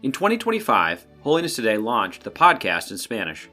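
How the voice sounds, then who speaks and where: A man's voice: medium pitch, huge pitch swings, a little fast, bright, forceful tone. A man announcing a podcast episode in a scripted, upbeat read. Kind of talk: scripted show intro. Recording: light music under the voice.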